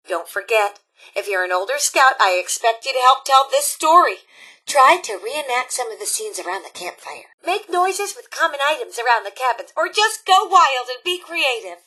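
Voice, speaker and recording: A woman's voice: on the high side, bright, forceful tone, breathy edge, exaggerated voice. A woman voicing a cheerful, motherly robot scout leader in a video game, theatrical and folksy as a campfire storyteller.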